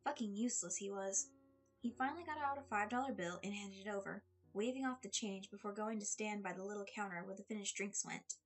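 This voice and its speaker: A woman narrates fiction in an expressive, storytelling style. Her voice is a little husky and sits at an average pitch, with music playing underneath. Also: brisk. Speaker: fan fiction reader. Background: background music.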